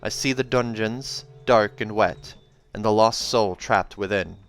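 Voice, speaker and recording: A man's voice: on the low side, measured pace. A man voicing a savage young female seer from a fantasy audiobook, mystical and haunted, speaking in dramatic, incantatory fashion. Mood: visionary, rising. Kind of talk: audiobook character acting.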